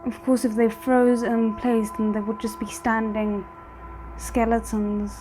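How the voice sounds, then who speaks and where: A woman's voice: fairly high pitch, little pitch movement, a little slow, warm tone, faint music behind. A woman giving casual reaction commentary as a host, musing and thinking aloud. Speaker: host of a drama reaction channel.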